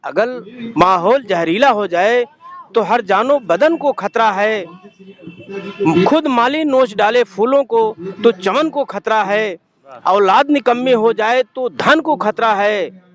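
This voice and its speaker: A man's voice: high-pitched, slow pace, a bit bright. A man, a Buddhist monk giving a formal, oratorical appeal with emphatic repetition. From Uttar Pradesh, India. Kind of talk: formal impromptu speech to camera. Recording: dull lo-fi sound, crowd around.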